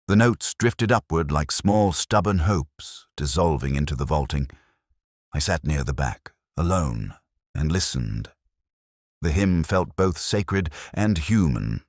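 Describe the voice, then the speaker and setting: A man narrating a scripted first-person detective story in a measured, solemn, literary manner. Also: extremely low pitch, dry recording, studio quality.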